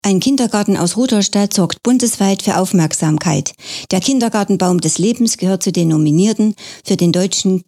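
A friendly woman radio reporter with a voice of middle pitch, interviewing and presenting. She encourages her guest with short, warm affirmations.

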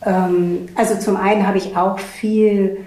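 A woman's voice: medium pitch, flat delivery, measured pace, mellow. Wry woman telling a story, roomy sound. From eastern Germany. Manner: wry, storytelling, laughing at herself. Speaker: interviewee. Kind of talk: personal anecdote in an interview. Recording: echoey room.

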